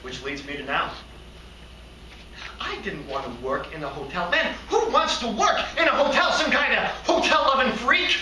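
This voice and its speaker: A man playing an odd, naively earnest hotel receptionist who rambles and daydreams in a halting, excitable comic stage monologue. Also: medium pitch, pitched below the performer's own voice. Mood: rambling, earnest. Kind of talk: theatrical comic monologue performance. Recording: roomy, distant mic, faint noise.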